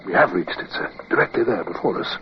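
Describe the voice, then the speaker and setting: An actor playing a composed, earnest British naval officer in a radio drama, speaking formally and with measured delivery. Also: male voice, on the low side, animated, close to an everyday voice. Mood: earnest, respectful. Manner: formal, measured, clipped orders. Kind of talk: radio drama acting and first-person narration. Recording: dull, faintly noisy old radio recording.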